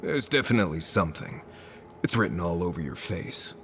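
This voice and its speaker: A man's voice: low-pitched, expressive, fairly quick, a little hiss or hum. A man playing a cynical, sardonic bodyguard companion in a video game, with a dry, blunt delivery. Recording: game music behind the voice.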